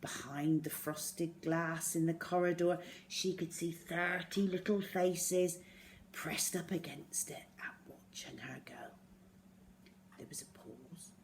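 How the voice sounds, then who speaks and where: A woman reading a children's story aloud in an expressive way, acting out the words as she goes. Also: lowish voice, takes it slowly, slight background noise. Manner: expressive, acts out dialogue and sound words.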